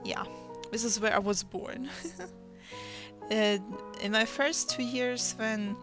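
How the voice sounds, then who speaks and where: A woman's voice: fairly high pitch, fairly even intonation, slow pace. Hesitant teenage girl, Russian native. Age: teens. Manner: hesitant, many restarts and fillers. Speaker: channel host. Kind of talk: draw my life voice-over narration. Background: music.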